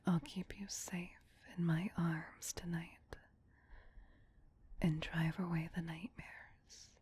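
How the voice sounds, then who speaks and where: A woman voicing a tender, possessive vampire queen in a soft, breathy whisper, speaking intimately as ASMR roleplay. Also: lowish voice, level tone, slight background noise. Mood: soothing, loving. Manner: slow, intimate, doting. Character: tender, possessive vampire queen soothing her beloved pet.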